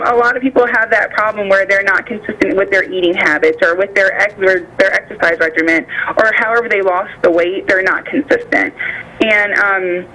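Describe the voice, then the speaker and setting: An American woman speaking by phone as a nutritionist guest, explaining things informally and illustrating points with imagined quotes. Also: medium pitch. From United States. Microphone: phone call. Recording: bright voice over phone line.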